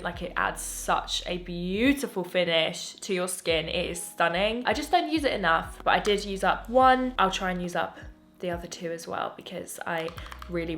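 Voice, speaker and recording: A woman's voice: lowish voice, a bit bright. A young English woman chatting through makeup reviews at home, gushing and chatty, her voice a little strained. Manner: gushing, chatty, self-interrupting. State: voice slightly going. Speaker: beauty vlogger. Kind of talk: casual chatty product review.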